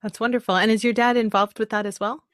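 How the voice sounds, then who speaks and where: A woman hosting a podcast who reads a guest introduction and then asks gently curious questions, affirming the guest and hesitating with "um" before asking. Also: on the high side, fairly even intonation, fast talker, no room sound, studio quality. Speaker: podcast host.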